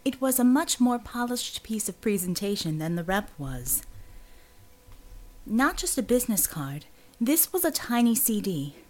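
A woman's voice: a little high, a little mellow. A woman reading scripted fiction as an audiobook narrator.

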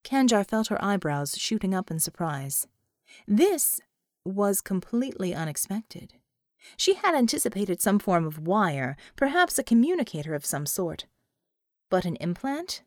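A woman's voice: medium pitch, very animated, measured pace, no echo, clean studio sound. A woman reading a scripted fiction audiobook as its narrator, in a dramatic storytelling style.

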